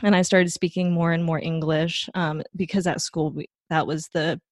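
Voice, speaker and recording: A young woman from Taiwan, a guest in a casual interview, talking in a relaxed, rambling way with plenty of fillers. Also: lowish voice, moderate pace, dry recording, studio quality. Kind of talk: casual conversation.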